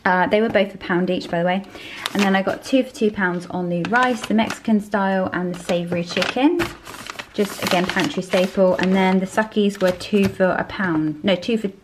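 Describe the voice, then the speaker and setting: A woman in her thirties with a Southern English accent talks through her shopping in a relaxed, chatty way, filling pauses with "um" and "like". Her voice sits a little low, and a steady noise runs under it in her kitchen, picked up by a camera on the table. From southern England. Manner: chatty, many fillers like "um" and "like". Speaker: family vlogger. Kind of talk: casual grocery haul and meal plan chat. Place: home kitchen, indoors. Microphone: camera on a table facing her.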